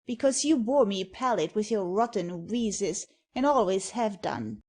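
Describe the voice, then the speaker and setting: A woman plays a sharp-tongued young woman from a stage satire. The delivery is mostly measured and slow, with a mocking, slangy bite and a medium pitch. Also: very animated. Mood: losing temper. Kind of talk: acted stage satire in audio.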